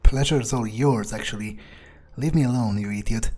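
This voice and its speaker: Mellow-voiced man muttering scornfully. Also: medium pitch, normal speed. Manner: disdainful, hesitant muttering, heavy swearing. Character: cynical, scornful male vampire. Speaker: gamer playing in character. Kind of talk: in-character gameplay monologue, thinking aloud.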